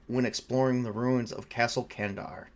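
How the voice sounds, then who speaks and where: A man's voice: medium pitch, steady tone, normal speed, pitched below the performer's own voice, close to an everyday voice. A man voicing a solemn male scholar on a haunted tape recording, in a tabletop horror game.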